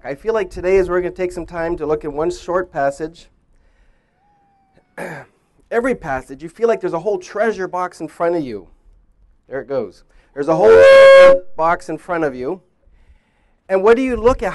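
High-voiced man preaching through stories. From grew up in Indonesia. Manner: conversational, animated storyteller who acts out his own thoughts. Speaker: preacher, former missionary. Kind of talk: sermon with personal storytelling.

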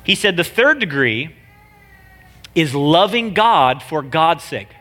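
A man's voice: on the high side, big pitch swings, takes it slowly, sharp tone, soft background music. A man with a nasal voice, a guest preacher giving a casual, joking sermon full of slang. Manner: chatty, joking, slangy delivery.

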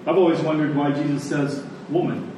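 A man preaching a sermon to a congregation, phrasing deliberately and emphatically and repeating lines for effect. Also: on the high side, fairly even intonation. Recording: echoey room, distant mic, faint noise.

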